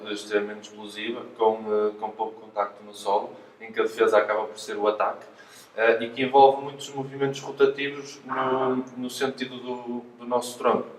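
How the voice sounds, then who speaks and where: A man with a European Portuguese accent giving careful, hesitant explanations as a physiotherapist in an interview. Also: medium pitch. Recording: echoey room, distant mic, faint noise.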